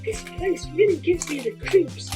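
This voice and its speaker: Weary, nervous little puppet girl, played by a woman, extremely high, swooping delivery, music clearly behind. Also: animated, measured pace. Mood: nervous complaining. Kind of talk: children's puppet play acting.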